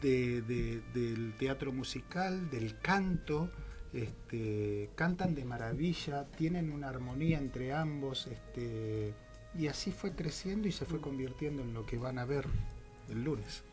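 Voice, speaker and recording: A man's voice: mid-range voice, animated, slow, darkish tone, faint music behind. A middle-aged man with an Argentine accent, a playwright guest talking hesitantly and reflectively in a radio interview. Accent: Argentine Spanish. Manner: reflective, hesitant, many fillers. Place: small radio studio. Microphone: studio microphone with headphones.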